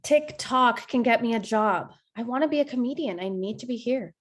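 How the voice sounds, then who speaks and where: Indignant young female comedian acted by a woman, high-ish voice, exasperated, emphatic, table-read drama. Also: moderate pace, natural voice. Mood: defensive. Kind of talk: acting at an online script table read.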